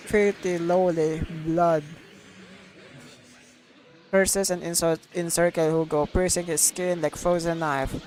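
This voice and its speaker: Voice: medium pitch, a little mellow, a little hiss or hum, rough recording. A streamer reacting live to a game and reading its text aloud.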